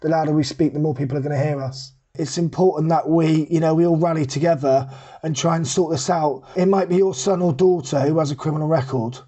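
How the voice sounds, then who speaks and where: Casting: middle-aged male, voice a little above average pitch, unpolished conversational delivery for candid first-person testimony. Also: fairly even intonation, brisk, warm tone. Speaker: charity ambassador telling personal story.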